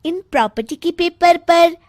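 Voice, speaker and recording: Woman as a very high, girlish daughter-in-law. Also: moderate pace, background noise. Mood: smug. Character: cheeky, conniving younger daughter-in-law.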